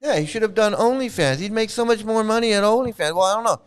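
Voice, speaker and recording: A man's voice: sits high, quick pace, smooth. Profane, scornful middle-aged man ranting. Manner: profane, scornful, repeats words. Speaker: commentary channel host. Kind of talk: casual ranting commentary.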